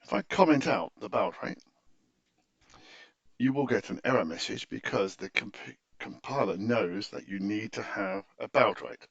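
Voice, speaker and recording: Gravelly man with lively pitch, walking through software. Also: moderate pace, no room sound, muffled recording. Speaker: software tutorial presenter. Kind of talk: unscripted screen-share tutorial walkthrough.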